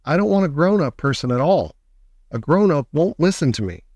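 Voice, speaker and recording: A man voicing an eccentric old chocolate factory owner in a storybook reading, kindly but brisk and emphatic. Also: on the high side, very animated, a little mellow, dry recording, lo-fi sound. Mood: earnest, confiding.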